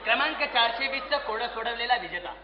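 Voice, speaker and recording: Excitable family father, played by a male actor, very high pitched, exclamatory comedy stage delivery in an echoey hall. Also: fast, over a noisy crowd. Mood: excited. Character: excitable Marathi family man, father who won a television. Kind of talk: amateur comedy stage acting. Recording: echoey, muffled stage recording, faint noise.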